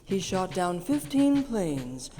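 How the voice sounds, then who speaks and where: A calm storyteller who speaks slowly in a mellow, somewhat low voice. Her words carry through an echoey hall, with faint music behind her. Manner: measured, unhurried storytelling. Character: calm storytelling female narrator. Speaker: narrator. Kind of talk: live radio play narration. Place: theater stage.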